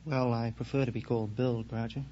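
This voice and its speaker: A man's voice: medium pitch, flat delivery, a little fast, mellow. A man in his twenties from Australia, answering questions as a quiz show contestant. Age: 20s. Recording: muffled 1950s radio recording, faint noise.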